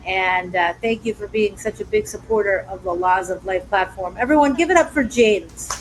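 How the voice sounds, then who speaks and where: A woman's voice: mid-range voice, normal speed, slight background noise, rough recording. A woman hosting a live talk show, upbeat and chatty, speaking directly to her studio and online audience.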